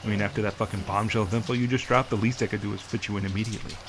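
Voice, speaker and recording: A man's voice: fairly low pitch, fairly even intonation, rapid-fire, background noise, natural voice. A man playing a brash, foul-mouthed dealer in illegal implants in a science fiction audio drama, blunt and full of slang. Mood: gruff, friendly.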